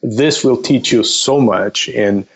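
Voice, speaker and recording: A man explaining things as a podcast guest in a methodical, polite, somewhat halting way. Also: medium pitch, creaky, breathy edge, rough recording. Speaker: investment counselor, podcast guest. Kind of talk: casual explanatory podcast talk.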